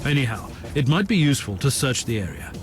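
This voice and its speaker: A man's voice: mid-range voice, big pitch swings, fast talker, natural voice. Game role for a man, acted: self-assured, authoritative Inquisitor, dry delivery, middle register, music behind. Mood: composed, commanding. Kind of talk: dramatic game cutscene dialogue.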